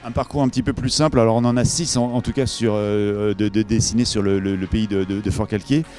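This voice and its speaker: Mellow, low-voiced male interviewer, halting delivery. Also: fairly even intonation, soft background music. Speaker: interviewer, event presenter. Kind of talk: live promotional interview, improvised presenting.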